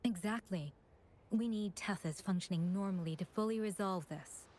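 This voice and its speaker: A woman's voice: medium pitch, moderate pace, a little mellow, slight background noise, lightly acted. An actress plays a female chief administrator in a story-driven video game. She is courteous and composed, speaking in a mid-range voice with an orderly, official manner. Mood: composed, analytical.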